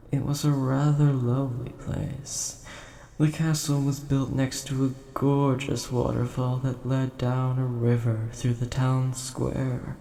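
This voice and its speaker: A man plays a huge, age-old dragon with a scholarly bent, gentle and formal. He rambles unhurriedly in a dark, relaxed tone, like a storyteller in an audio roleplay. The sound is roomy and echoing. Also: medium pitch, creaky. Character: large ancient nerdy dragon, gentle, scholarly, coin collector. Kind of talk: scripted audio roleplay addressed to the listener. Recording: roomy echo, faint background noise.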